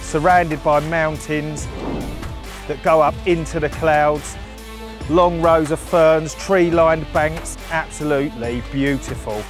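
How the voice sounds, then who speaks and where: A man's voice: on the high side, steady tone, takes it slowly. Upbeat man over music. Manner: upbeat, enthusiastic. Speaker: carp angler and presenter. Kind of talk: casual vlog and narration.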